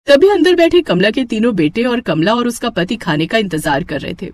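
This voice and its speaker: A woman's voice: a little high, lively intonation, brisk. A woman narrating a scripted moral tale as a storyteller.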